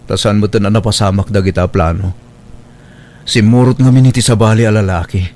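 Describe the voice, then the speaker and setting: A man's voice: fairly low pitch, fairly even intonation, a little fast, darkish tone, close to an everyday voice. An actor playing an earnest adult son and father in an Ilocano radio drama, with a plaintive delivery. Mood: regretful.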